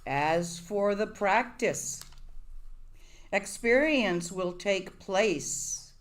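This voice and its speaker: A woman's voice: medium pitch, expressive, very slow. A woman giving a Buddhist dharma talk to a live audience, conversational and wry, often asking her listeners questions. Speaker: Buddhist teacher.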